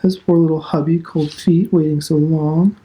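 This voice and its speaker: A man's voice: fairly high pitch, little pitch movement, normal speed, dark tone, pitched above the performer's own voice, lightly acted, poor audio. A man reading the part of a haughty wife who satirically teases her husband. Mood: mocking.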